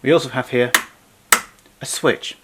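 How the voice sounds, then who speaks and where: A man presenting vintage equipment in an unscripted demonstration, explaining things in a hesitant, pausing way. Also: medium pitch, steady tone, background noise. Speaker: vintage tape recorder collector presenting.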